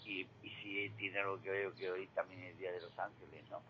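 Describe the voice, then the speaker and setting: An older man giving a reflective radio monologue, halting and repeating words, with rhetorical questions. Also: low-pitched, flat delivery, dull audio. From Spain. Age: 60s or older. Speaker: retired regular contributor giving commentary. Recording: clearly noisy radio feed.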